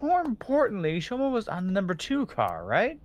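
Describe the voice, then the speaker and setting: A man's voice: sits high, huge pitch swings, normal speed. A man playing an anxious, earnest young male detective in an amateur video game fandub. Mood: determined.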